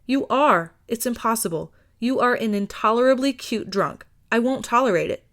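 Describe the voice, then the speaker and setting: A woman voicing a tiny, grouchy loudmouth woman in an audiobook, bossy and exasperated but fond. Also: fairly high pitch, expressive, moderate pace, voice pushed up. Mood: grumbling, fond. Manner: huffy, bossy, complaining.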